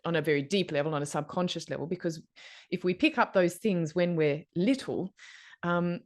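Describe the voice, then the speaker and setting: A woman's voice: lowish voice, normal speed. Thoughtful woman hosting a podcast. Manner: thoughtful, probing questions, conversational. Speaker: podcast host. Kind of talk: podcast interview.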